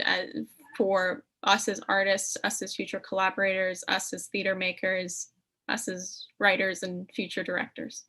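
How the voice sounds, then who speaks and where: A woman's voice: medium pitch, bright, forceful tone, poor audio. A young woman, an acting student, putting an earnest question to a panel. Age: young adult.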